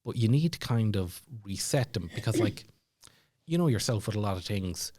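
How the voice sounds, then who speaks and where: A man's voice: fairly low pitch, expressive, moderate pace, mellow, dry recording, clean studio sound. An Irish man chatting casually as a podcast guest, telling stories in a rambling, self-deprecating way. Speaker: podcast guest, former comedy club MC. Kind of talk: casual storytelling chat.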